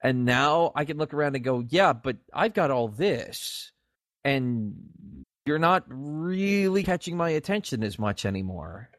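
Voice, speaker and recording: A man's voice: mid-range voice, animated, a little slow, a bit bright, no echo. Guy cohosting a casual podcast, mid-range voice, really into it and emphatic, very dry close sound. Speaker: podcast co-host. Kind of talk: casual video game podcast discussion.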